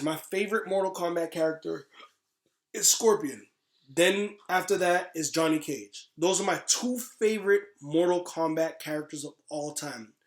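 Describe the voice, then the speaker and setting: A man co-hosting a podcast, chatting casually in an emphatic, slangy, opinionated way like an excited fan. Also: on the high side, echoey room. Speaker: podcast co-host. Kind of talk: casual chat about games and movies.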